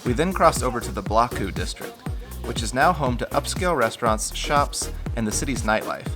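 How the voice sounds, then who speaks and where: A man co-hosting a travel vlog, hoarse from a cold, narrating in an upbeat, enthusiastic way. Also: medium pitch, animated, fairly quick, music in the background. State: hoarse, losing his voice. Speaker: travel vlogger co-host.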